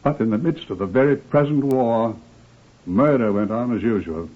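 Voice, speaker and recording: An actor with a British accent playing an authoritative police superintendent who narrates crime cases in a formal, measured, deliberate old-time radio style. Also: male voice, mid-range voice, narrow, tinny audio, lightly acted. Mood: solemn, measured. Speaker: narrator, host of crime series. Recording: thin, band-limited old radio recording.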